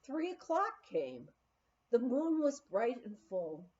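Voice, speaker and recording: A woman reading a memoir aloud in an expressive storytelling style. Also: fairly high pitch, slow pace, muffled recording. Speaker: audiobook reader.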